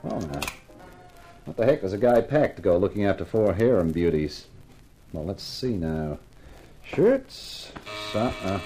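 An actor playing a cocky, wisecracking hard-boiled private eye with a breezy, wry delivery in a 1950s radio drama. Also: male voice, on the low side, darkish tone. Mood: wry, musing. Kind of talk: 1950s radio detective acting with narration.

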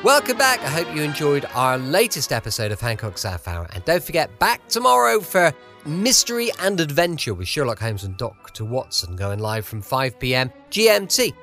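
Bright, lively male podcast host. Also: fairly high pitch. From England. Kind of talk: scripted podcast sign-off.

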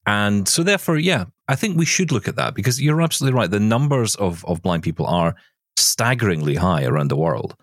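A man's voice: lowish voice, expressive, brisk, no room sound, studio quality. A man hosting a conversational interview, speaking emphatically and repeating phrases to stress his point. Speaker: show host interviewer.

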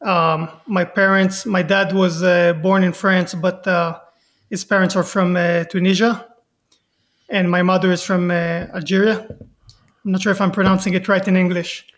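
A man's voice: sits high, little pitch movement, normal speed, fairly bright tone. A young man, answering interview questions with frequent hesitant ums and uhs. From Israel. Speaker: podcast guest, professional fighter.

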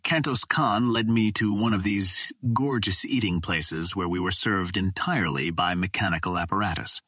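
A man's voice: on the low side, bright, forceful tone, husky, no echo. A man reading a classic adventure novel aloud as scripted narration. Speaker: audiobook reader.

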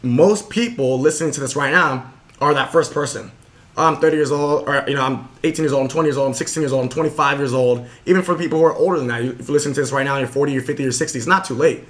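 A young man hosting a podcast, telling a motivational story in a casual, earnest way with lots of fillers. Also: medium pitch. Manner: fast, earnest, full of fillers. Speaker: podcast host.

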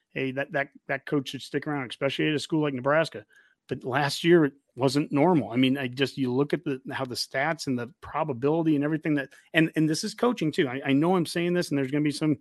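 A man's voice: on the high side, no room sound, studio quality. An American man hosting a casual sports fan discussion, repeating phrases emphatically to make his point. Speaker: sports fan podcast host.

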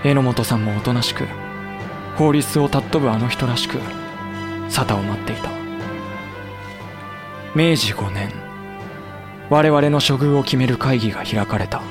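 A young actor playing a loyal samurai officer who narrates soberly and pleads with fiery passion. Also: male voice, mid-range voice, measured pace, long pauses, music in the background, close to an everyday voice. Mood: sober narration. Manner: passionate, rising to near shouting when pleading. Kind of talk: audio drama acting and period narration.

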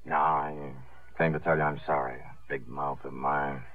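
A man's voice: a bass voice, level tone, moderate pace. An actor playing a cocky, sarcastic carnival showman with slangy patter in a 1950s radio drama. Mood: sheepish. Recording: muffled, roomy old radio broadcast.

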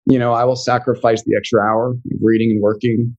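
A man's voice: mid-range voice, level tone, fairly quick, a little mellow, highs cut off. A man guesting on a podcast as a financial coach, talking earnestly and thinking aloud as he goes. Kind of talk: casual interview chat.

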